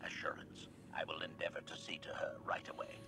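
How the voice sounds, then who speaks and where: An actor voicing a sardonic assassin droid in a video game, formal and clipped, through a metallic robot filter. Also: male voice, rumbling low, monotone, fairly quick, whispered, over music. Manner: clipped, formal, announces each statement type. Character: sardonic male assassin droid serving a master.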